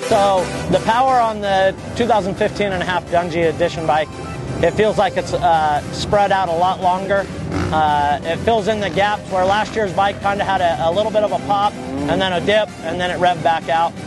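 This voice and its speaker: A man, a magazine test rider, giving a casual review of a bike, halting often on filler sounds. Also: sits high, poor audio. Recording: music bed behind voice.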